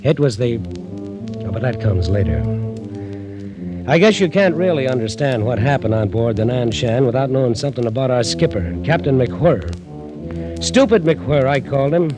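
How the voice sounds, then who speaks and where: A man's voice: mid-range voice, sing-song, moderate pace, natural voice. Man acting a sardonic young ship's mate, old radio. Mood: bitter, dramatic. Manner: wry, colloquial, exasperated. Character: resentful young chief mate of a steamer, sardonic storyteller. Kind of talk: 1940s radio drama acting. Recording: old radio recording with music.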